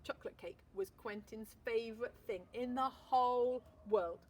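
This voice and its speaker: A woman teacher reading a picture book aloud, expressive storytelling with dramatic pauses. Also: on the high side, slight background noise. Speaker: primary school teacher.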